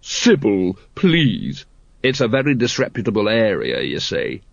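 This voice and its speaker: A man's voice: fairly low pitch, huge pitch swings, measured pace, creaky, dry recording, muffled recording, full character voice. A man voicing an impatient, weary watch captain in an audiobook, terse and dry.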